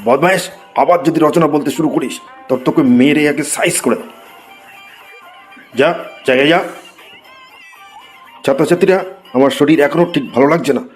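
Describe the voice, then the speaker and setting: A man voicing an exasperated, excitable Bengali schoolteacher in a comedy cartoon, theatrical and given to exclaiming. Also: on the high side, moderate pace, long pauses, soft background music, natural voice. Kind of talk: comic cartoon voice acting.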